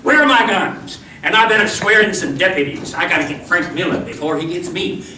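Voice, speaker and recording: A man playing an earnest, jittery town marshal in a comic old-time radio Western, hammy and halting as he tells his tale. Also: sits high, expressive, bright, forceful tone, exaggerated voice. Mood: alarmed. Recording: distant, echoey, noisy live stage recording.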